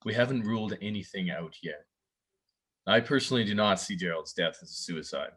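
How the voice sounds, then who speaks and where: Deep-voiced, guarded police investigator, read by a man. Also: lively intonation, fairly quick, a bit bright, husky. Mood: guarded, serious. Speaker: actor at a table read. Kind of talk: scripted drama table read.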